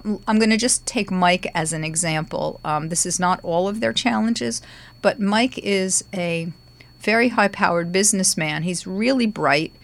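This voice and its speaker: A middle-aged woman with a gravelly voice, hosting a guitar lesson podcast in a chatty, warm-hearted way with frequent ums. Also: mid-range voice. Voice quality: gravelly today. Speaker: guitar teacher and podcast host. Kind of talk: casual podcast talk. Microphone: studio microphone.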